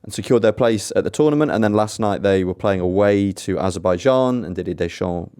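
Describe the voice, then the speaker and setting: A man with a fairly low voice, on as a football podcast guest. He analyses in a measured way and drops in dry jokes. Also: a little mellow, clear, resonant tone. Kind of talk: casual podcast chat.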